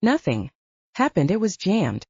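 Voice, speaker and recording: A woman's voice: mid-range voice, very animated, measured pace, gravelly, a little mellow, breathy edge, no echo. A woman working as an audiobook narrator, reading fiction in a dramatic, scripted style. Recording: dull, band-limited audio.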